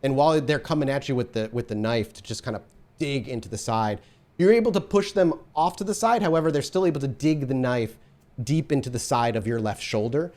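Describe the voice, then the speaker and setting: A man acting as storyteller for a tabletop horror game, his voice sitting at an ordinary middle pitch. He paints the scene in vivid detail, then shifts easily into patient explanations of dice rolls and rules. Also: animated, fairly quick, a little mellow.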